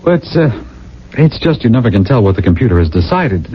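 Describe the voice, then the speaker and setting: A nervous, hesitant subject of a regimented future, with a rough, lowish voice and very animated pitch. He stammers his way through radio drama dialogue on a dull, aged recording. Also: fast. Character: nervous, meek male citizen of a regimented future. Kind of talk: 1980 radio drama acting.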